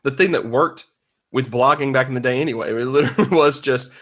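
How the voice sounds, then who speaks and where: A man's voice: mid-range voice, a little fast, fairly bright tone. A man hosting a podcast in a casual, joking, conversational style. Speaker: podcast host.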